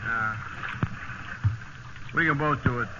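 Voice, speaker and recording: A man's voice: medium pitch, slow pace, close to an everyday voice. An actor playing a wry, grumbling crewman in a lifeboat in a 1950s radio drama. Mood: wry, tired. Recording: muffled, noisy old radio recording.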